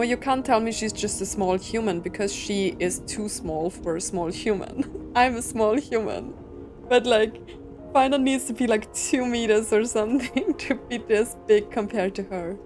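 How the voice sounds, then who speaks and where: A woman's voice: on the high side, moderate pace, a little mellow. Woman musing aloud over game music. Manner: thinking aloud, questioning. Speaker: streamer reacting to a story game. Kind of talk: casual reaction commentary.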